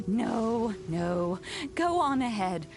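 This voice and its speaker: A serene old grandmother for a video game, doting and protective. She takes her time over each phrase in a gentle, measured way, with music clearly playing underneath. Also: a little high, big pitch swings, heavily acted. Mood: reassuring. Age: older. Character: kindly old granny oni, fondly protective, serene.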